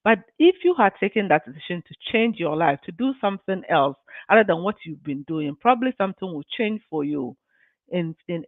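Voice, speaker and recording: A woman's voice: on the low side, dry recording. A woman speaks to her live-stream viewers as a business mentor, earnest and encouraging, often saying "you know." Her voice comes through a tinny, phone-like line with no room sound around it. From Ghana. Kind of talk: motivational live-stream talk.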